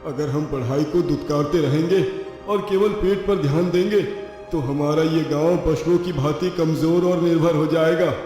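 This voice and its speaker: A man's voice: a little high, normal speed, dark tone, natural voice. A man playing an earnest, idealistic villager making his case in a moral-story cartoon. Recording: very echoey hall, faint music.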